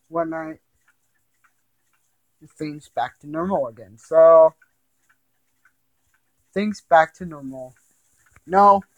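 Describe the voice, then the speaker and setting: A man with a slightly high voice tells a creepy story in an informal, amateur way. He goes slowly and haltingly, rambling and circling back over the same phrases. The sound is close and dry. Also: dry recording, rough recording. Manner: halting, rambling, repetitive delivery. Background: ticking and chiming clock.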